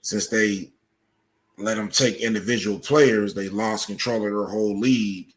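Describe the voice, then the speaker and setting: A man with a fairly low voice talks as a guest on a podcast. He explains conversationally, setting out each point in turn and backing it with examples. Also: fairly even intonation, a little slow.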